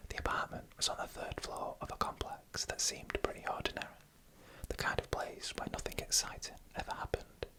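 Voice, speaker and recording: A narrator telling stories in a breathy, unvoiced ASMR whisper, in hushed and deliberate short phrases. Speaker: narrator and channel host.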